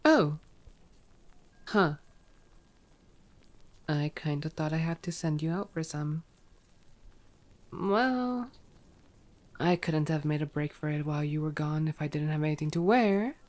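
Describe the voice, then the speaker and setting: A woman's voice: deep voice, a little slow, long pauses, a little mellow. A woman playing a sarcastic, teasing female vampire in an ASMR roleplay audio drama, playful and exasperated. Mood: wry.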